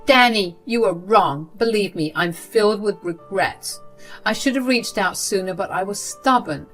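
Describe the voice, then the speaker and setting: A woman voicing a manipulative old grandmother who begs her family for money, in a high wheedling voice. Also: normal speed, faint music behind, lightly acted. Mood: pleading. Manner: whiny, wheedling, high-pitched.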